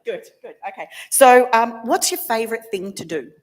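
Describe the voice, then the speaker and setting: A woman's voice: a little high, moderate pace. A woman minister interviewing a church member in a casual, chatty way, laughing easily. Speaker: minister leading worship.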